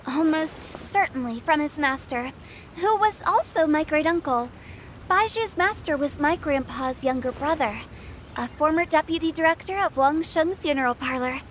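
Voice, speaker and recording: A woman's voice: high-pitched, expressive, moderate pace, a little hiss or hum. A woman playing a mischievous young female funeral director in a video game, talking in a playful, teasing way. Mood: storytelling.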